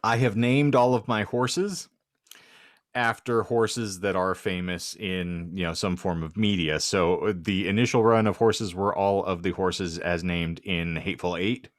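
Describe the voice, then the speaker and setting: A man's voice: low-pitched, measured pace, dry recording, studio quality. A man co-hosting a casual comedy podcast, talking in a wry, self-deprecating way with wordy, mock-formal phrasing. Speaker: podcast co-host.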